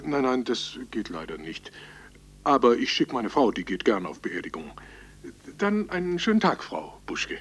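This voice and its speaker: An actor playing an effusively polite, nosy acquaintance in a radio play, chatty and breezy, with a raspy voice. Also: male voice, mid-range voice, very animated, moderate pace. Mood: breezily curious.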